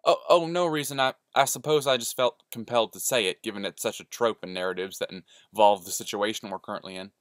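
A man's voice: fairly low pitch, fast, few pauses, no echo, natural voice. Timid woman played by a man, storybook character, hesitant, wordy, flustered, dry close sound. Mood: flustered, apologetic. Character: timid, flustered woman, self-aware and easily hurt.

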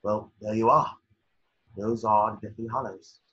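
Guy doing absent-minded old wizard, really raspy and low, muffled call audio. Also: steady tone, normal speed, bright, forceful tone. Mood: grave, distracted. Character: eccentric, absent-minded older wizard father. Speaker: narrator and actor in table read. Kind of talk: scripted stage-direction narration and character reading. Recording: dull video call audio.

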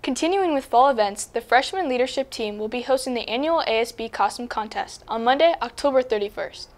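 A teenage girl, a freshman, co-anchoring a school news show in a steady, plain read. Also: fairly high pitch, fairly quick. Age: teens.

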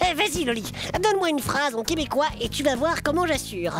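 A woman voicing an excitable boy teddy bear puppet with a Belgian French accent, talking in an enthusiastic, slangy way. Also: high voice, fairly quick. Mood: excited. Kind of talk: children's puppet show. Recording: music behind the voice.

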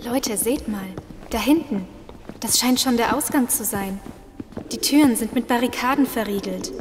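A woman's voice: a little high, normal speed, close to an everyday voice. An actress playing a cautious young female survivor in an audio drama. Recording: reverberant hall, clear background noise.

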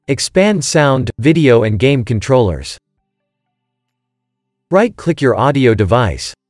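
Lively male tutorial narrator over music. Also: mid-range voice, slow, no echo, clean studio sound. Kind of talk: scripted step-by-step instructional narration. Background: background music.

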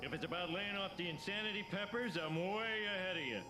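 A man voicing a dim, excitable middle-aged cartoon everyman with a thick, goofy, dopey voice, given to exclamations. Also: high voice, fairly quick, heavy background noise, close to an everyday voice. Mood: alarmed. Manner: goofy, exclamatory, swinging from mumbling to yelping. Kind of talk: animated comedy acting. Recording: loud music behind the voice.

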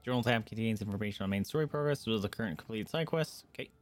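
Low-voiced man streaming a game. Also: very fast. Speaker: streamer playing a video game. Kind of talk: casual gameplay commentary, reading on-screen text aloud. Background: video game music.

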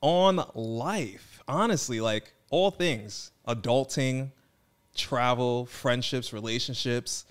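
A man in his twenties co-hosting a podcast, chatting playfully and teasing, recorded on a boom mic in a studio. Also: takes it slowly, fairly bright tone, clear, resonant tone. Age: 20s. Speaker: podcast co-host. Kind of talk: casual chat. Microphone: boom mic on stand.

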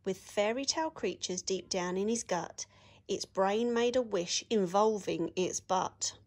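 A woman reading a children's picture book aloud with expressive, sing-song rhyming delivery. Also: medium pitch, measured pace.

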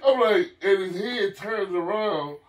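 A man's voice: high-pitched, expressive, a little slow, a loud crowd. A man in his fifties telling a story casually and animatedly, swearing freely, repeating himself and acting out his reactions. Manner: profane, repetitive, acts out reactions. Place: living room couch, indoors. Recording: roomy, distant from the mic.

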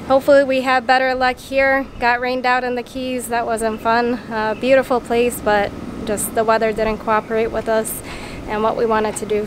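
A woman vlogging with her family on a fishing trip, talking casually and upbeat to a handheld camera. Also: high-pitched. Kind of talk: casual vlog narration. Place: outdoors and in a hotel room, on a trip. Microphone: handheld camera at arm's length. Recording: noisy outdoor camera audio.

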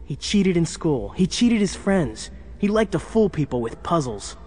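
An actor playing an eager young sidekick, narrating a children's audio drama in a dramatic scripted style. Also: male voice, high voice, big pitch swings, a little slow, darkish tone, full character voice. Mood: earnest storytelling. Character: eager young male sidekick telling case history.

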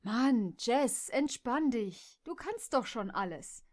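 A woman's voice: on the high side, slow. A woman voicing an easygoing young stallion who talks in a soothing, teasing way. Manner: relaxed and soothing.

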